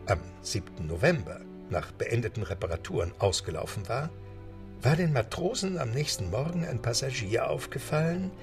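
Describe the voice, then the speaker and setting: A man narrating a radio drama in a measured storytelling manner. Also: mid-range voice, gravelly, distant mic. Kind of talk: scripted radio-play narration. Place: studio. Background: music.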